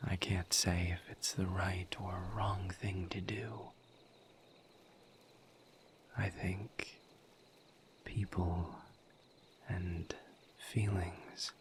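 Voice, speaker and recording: Gentle misery demon for audio roleplay, played by a man: low, hushed breathy whisper, extremely slow and deliberate, solemn and patient. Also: flat delivery, a little hiss or hum, exaggerated voice. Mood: reflective, somber. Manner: slow, deliberate, halting with long pauses.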